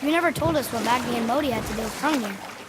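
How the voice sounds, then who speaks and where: A man's voice: extremely high pitch, fast. A young male actor playing a curious, earnest young boy in a video game. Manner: inquisitive, earnest. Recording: game audio with clear water and boat noise.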